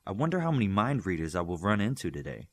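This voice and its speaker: An awkward, curious young student in an audiobook story, voiced by a man. The delivery is tentative and conversational, with pitch that moves up and down a lot. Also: big pitch swings, fast talker, full character voice.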